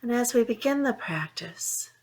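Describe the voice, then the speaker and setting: A woman leading a guided mindfulness meditation, speaking in a soothing, unhurried way with long pauses between phrases. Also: a little high, lively intonation, rough recording. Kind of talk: guided meditation, gentle instruction.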